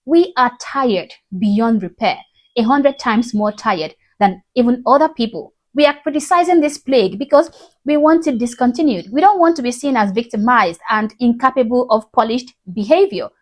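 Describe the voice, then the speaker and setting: Blunt woman, higher voice. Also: animated, normal speed. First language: Igbo. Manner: blunt, mocking, emphatic. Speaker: commentary host. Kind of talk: reaction commentary.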